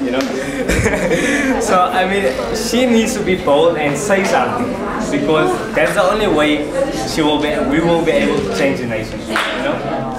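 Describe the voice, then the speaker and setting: A young man with a South African accent talks casually to a circle of students, laughing and restarting his sentences. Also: on the high side. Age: young adult. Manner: chatty, laughing, restarts often. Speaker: student speaker. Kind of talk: casual speech to a group. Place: large hall with seated students. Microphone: far camera. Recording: echoey hall from far off, crowd.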